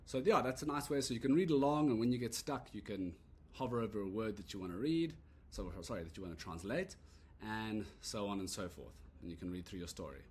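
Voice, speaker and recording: A man giving a relaxed, rambling walkthrough of an app he built, full of "uh" and "so yeah" fillers. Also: lowish voice, a little fast, hardly any pauses, husky. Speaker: app creator demoing his product. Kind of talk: casual screen-recorded product demo. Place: at a computer recording a screen demo.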